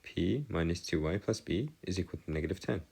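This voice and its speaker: A man giving a step-by-step math lesson as a tutor, halting and pausing to check himself, with formal, bookish wording. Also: sits low, lively intonation, gravelly, darkish tone, breathy edge. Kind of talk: lesson, working through equations step by step.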